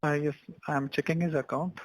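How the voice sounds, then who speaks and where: A man with an Indian accent working as a support agent, explaining account rules in a halting, repetitive way on a call. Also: on the high side, flat delivery, dry recording, rough recording.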